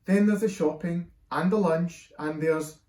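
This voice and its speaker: A man's voice: fairly high pitch, unhurried, darkish tone, pitched above the performer's own voice, natural voice. A man doing a weary, polite mum. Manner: weary, politely put-upon. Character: tired unwell mother, polite, weary. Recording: roomy echo.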